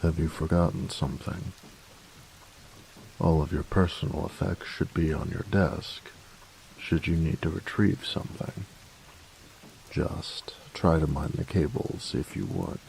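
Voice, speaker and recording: A man playing a grumpy, workaholic artificer in an audio roleplay, tired and reserved, speaking formally and precisely with hesitant pauses in a gravelly voice. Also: darkish tone, slight background noise, full character voice, rough recording. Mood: stiff, formal. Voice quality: gravelly, very low voice. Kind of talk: audio roleplay addressed to the listener.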